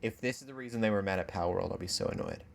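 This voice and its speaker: A man with a fairly low voice chats casually about games as a podcast co-host. His delivery is playful and sarcastic, with some noise sitting behind the voice. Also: racing, gravelly.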